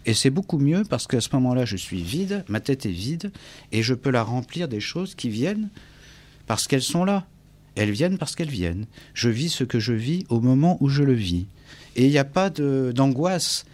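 A man's voice: medium pitch, big pitch swings, moderate pace, a little mellow. A man from France with a French accent, speaking reflectively as an interview guest, often searching for words and repeating himself. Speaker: interview guest, English teacher and author.

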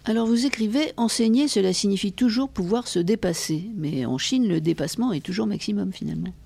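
A man's voice: sits high, fairly quick, vocal fry, a little mellow, slightly rough, no echo. A man conducting an interview in an attentive, conversational way, putting questions and responding to his guest.

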